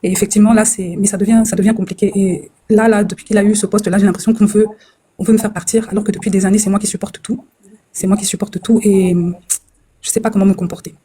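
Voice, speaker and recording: A woman's voice: medium pitch, steady tone, quick pace, dark tone, slight background noise. A woman caller from France telling her troubles, hesitant and distressed and often repeating herself.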